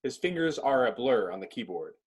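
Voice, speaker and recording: A man with a husky voice narrating a script in a clipped, descriptive reading style. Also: medium pitch, very animated, brisk.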